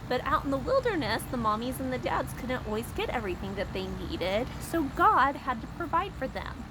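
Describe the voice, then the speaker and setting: Woman, children's story time host, high and very lively, simple questions and asides, noisy ambient recording. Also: normal speed. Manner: expressive, simple explanations aimed at small children.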